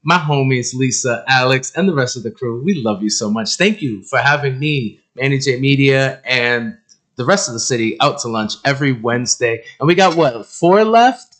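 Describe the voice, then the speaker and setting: A man's voice: mid-range voice, expressive, moderate pace, fairly bright tone. A man hosting an interview show in a casual, rambling and jokey way, with informal slang and effusive enthusiasm.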